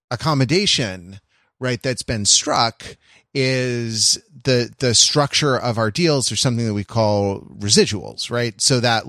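A man co-hosting a podcast, explaining his thoughts in a halting way with many restarts. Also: on the low side, fairly bright tone, no room sound, studio quality. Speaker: podcast co-host. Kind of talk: casual chat.

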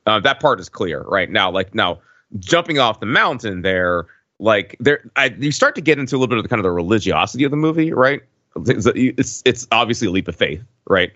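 A man with a slightly low but bright, pressing voice. He discusses films casually as a podcast co-host, starting haltingly and repeating words. The recording is dry and a little dull. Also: big pitch swings, dry recording. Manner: halting, often repeats words and checks with "right?".

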